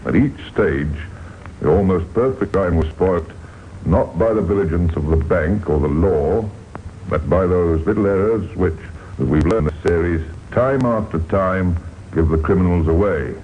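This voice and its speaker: A man narrating a vintage radio crime series in a deliberate, dramatic storytelling manner. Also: low voice, a little hiss or hum. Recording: dull vintage radio recording with music. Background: music.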